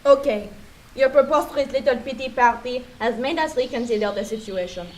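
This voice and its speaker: A woman's voice: fairly high pitch, fairly quick, a little mellow, close to an everyday voice, low-quality recording. A woman playing a haughty, taunting lumberjack leader in a comic radio play. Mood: haughty amusement. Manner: mocking, pompous.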